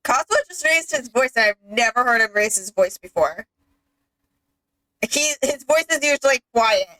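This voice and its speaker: A woman's voice: a little high, lively intonation, normal speed, bright, forceful tone, rough recording. A young woman in casual gameplay chat, exclamatory and tending to repeat her point.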